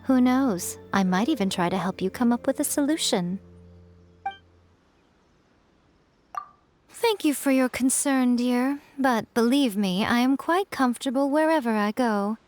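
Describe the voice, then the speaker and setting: A woman playing a self-assured, teasing fox spirit shrine maiden in a video game, with playful, coy and deliberate phrasing. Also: on the high side, darkish tone, lightly acted. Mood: composed, curious. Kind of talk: polished video game character acting. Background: music.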